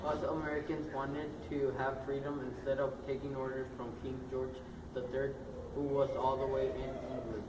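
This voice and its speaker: Man as a stately early president, plain recital, heard far off in an echoey room with loud noise. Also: medium pitch, flat delivery, normal speed, lightly acted. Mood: earnest. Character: dignified founding president, older man.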